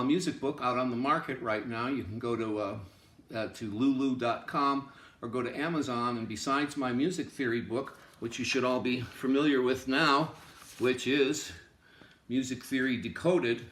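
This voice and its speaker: A man with a fairly high voice teaches in an informal lecture style. He explains things emphatically, often repeating phrases to drive them home. The recording is noticeably roomy, with clear echo around his voice. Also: fairly bright tone. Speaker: music teacher. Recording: roomy, echoing room sound.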